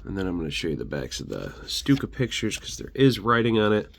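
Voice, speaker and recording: Guy w a deep voice, kinda congested and sick, casually showing stuff off, rambles a bit. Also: big pitch swings, fairly quick, creaky. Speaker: host of a militaria collection channel. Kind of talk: casual show-and-tell narration.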